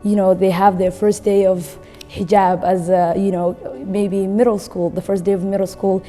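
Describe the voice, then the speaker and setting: A woman's voice: medium pitch, level tone, moderate pace, mellow. A woman in her twenties from Somalia, talking casually and conversationally about a book, often saying "you know.". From Somalia, later lived in Kenya. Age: 20s. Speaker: recent graduate, guest book reader. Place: indoor room with wood-panel wall, seated. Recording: reverberant room with background music.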